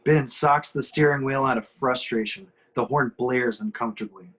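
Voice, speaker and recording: A man's voice: medium pitch, moderate pace, a bit bright. A man reading out action directions as narrator at an amateur screenplay table reading. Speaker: reader of stage directions.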